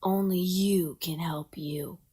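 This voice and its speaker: Lady voicing an old chill turtle guy, slow lazy drawl, kinda low and airy. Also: steady tone, warm tone, breathy edge. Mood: laid-back. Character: old wise laid-back male snapping turtle.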